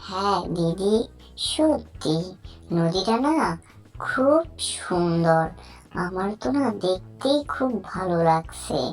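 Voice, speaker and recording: A woman's voice: fairly low pitch, animated, takes it slowly, faint music behind. A woman voicing a timid, friendly younger sibling in a children's cartoon. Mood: awed, uneasy.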